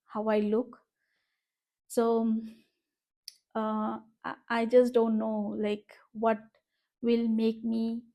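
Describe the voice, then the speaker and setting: A woman's voice: on the high side, dark tone. A woman from Northeast India with an Indian English accent, Bengali her first language, talking in a reflective, hesitant vlog from her room. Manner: reflective, hesitant, many fillers. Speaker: vlogger. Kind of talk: personal vlog monologue.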